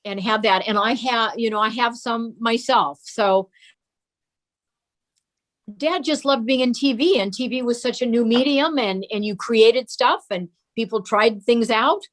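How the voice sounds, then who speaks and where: A woman's voice: on the high side, measured pace. Older woman reminiscing in an interview. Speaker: interview guest.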